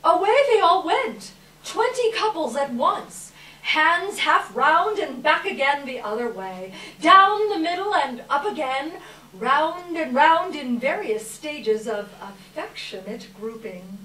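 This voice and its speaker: Female narrator, unhurried expressive readers theater delivery, roomy far-off recording with faint noise. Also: high-pitched. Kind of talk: dramatic scripted storytelling, live stage reading. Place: live event room, distant microphone.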